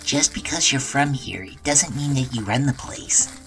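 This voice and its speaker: A woman playing an easygoing, supportive male friend in an audio drama, relaxed and plain-spoken. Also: very deep voice, normal speed, soft background music. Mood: reassuring. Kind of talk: amateur audio drama acting.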